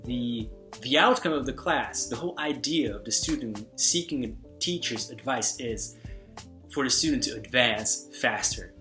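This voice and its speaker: A man whose first language is Hungarian, giving casual spoken advice on language learning, with a relaxed, rambling delivery full of fillers and restarts. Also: medium pitch, normal speed, faint music behind.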